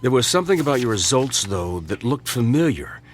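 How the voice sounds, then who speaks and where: Male actor as sceptical doctor for radio drama: mid-pitched, expressive, dryly disapproving. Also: fairly quick, soft background music, natural voice. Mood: grave, explaining. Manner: dry, reproachful. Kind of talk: theatrical radio drama acting.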